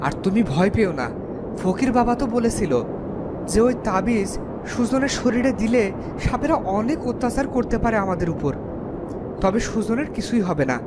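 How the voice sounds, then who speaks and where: A woman's voice: on the high side, animated, moderate pace, very noisy, natural voice. A woman voicing a steadfast, protective village woodcutter father in a fairy-tale cartoon, speaking firmly. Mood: reassuring.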